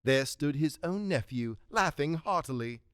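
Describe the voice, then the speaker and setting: Male radio-play narrator: dramatic scripted storytelling, lively intonation, close dry sound. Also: on the high side, measured pace, clean tone, no room sound.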